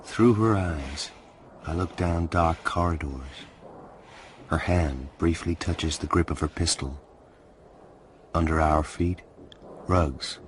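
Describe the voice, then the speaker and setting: Very deep, brooding male narrator. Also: slow pace, pauses often, slight background noise. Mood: brooding, watchful. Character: brooding, watchful male hacker narrating in first person. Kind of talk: scripted radio drama narration.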